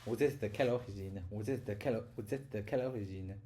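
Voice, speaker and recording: A man with a somewhat low, dark and mellow voice practices French phrases aloud, repeating each one haltingly and tripping over longer words. A faint background hum sits under his voice. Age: adult. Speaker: adult learner of French. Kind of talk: self-recorded pronunciation practice.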